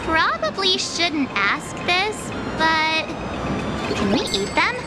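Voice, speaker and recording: Very high, perky girl traveller. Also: slow, over loud music, full character voice. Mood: curious. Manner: cute, expressive, informal. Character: perky, curious, chatty young girl traveller. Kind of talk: anime game voice acting.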